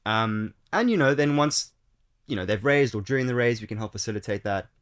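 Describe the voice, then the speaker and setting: A man's voice: on the low side, animated, a little fast, a bit bright. A young man with a South African accent, a startup founder talking casually as a podcast guest, chatty with many fillers and restarts. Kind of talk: casual interview chat.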